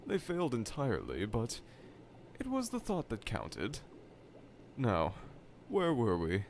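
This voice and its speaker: A man's voice: mid-range voice, huge pitch swings, takes it slowly, darkish tone, heavily acted. A man playing a dejected, pompous evil scientist villain from a cartoonish game, delivering a theatrical, self-pitying roleplay monologue to the listener. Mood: wry. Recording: clear background laboratory ambience noise.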